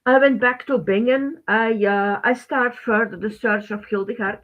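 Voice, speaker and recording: A middle-aged woman from Belgium, a native Dutch speaker with a Belgian Dutch accent, presenting a webinar informally in halting, non-native phrasing. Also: on the high side, steady tone. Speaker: webinar host, teacher. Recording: thin phone-like band-limited webinar audio.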